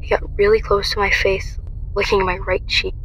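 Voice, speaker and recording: Girl voice acting shaken young woman telling her story, tense and kinda even, bit of fry, sounds over it. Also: medium pitch, moderate pace, faint music behind, lightly acted, low-quality recording. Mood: tense, fearful. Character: traumatized young woman recounting her violent, furious awakening. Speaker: lead actress and narrator. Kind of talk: dramatic first-person audio drama narration.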